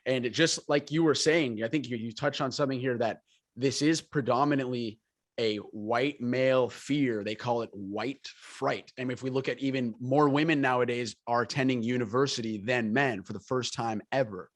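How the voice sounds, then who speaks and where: A man's voice: mid-range voice, moderate pace, dry recording. A man hosting a podcast, talking conversationally and emphatically, often repeating phrases for stress. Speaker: podcast host. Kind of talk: conversational interview commentary.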